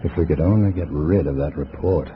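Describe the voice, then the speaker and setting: An actor playing a cool undercover American agent posing as a comrade, colloquial and composed, in 1950s radio drama style. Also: male voice, very deep voice, brisk. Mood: conspiratorial. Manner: colloquial, hard-boiled, composed. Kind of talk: 1950s radio drama acting with first-person narration. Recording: dull, noisy vintage radio recording.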